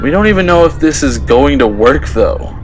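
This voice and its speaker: A man's voice: fairly high pitch, expressive, normal speed, lightly acted, rough recording. Reluctant teen boy hero, male actor: hesitant, everyday casual tone, audio drama with prominent music. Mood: worried. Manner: casual, unsure. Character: hesitant, doubtful teenage boy, reluctant superhero.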